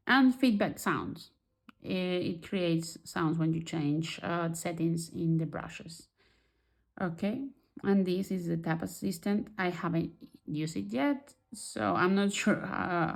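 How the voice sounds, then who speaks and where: A woman who is not a native English speaker, chatting casually through a digital art software tutorial and often restarting as she searches for words. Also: lowish voice, gravelly. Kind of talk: casual screen-recorded tutorial.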